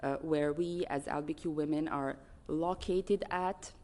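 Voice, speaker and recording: A woman with a Serbian accent and a low-pitched voice delivers a formal speech as an advocate at a conference. She speaks earnestly and haltingly, stressing the items in her lists with care. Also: fairly even intonation, warm tone.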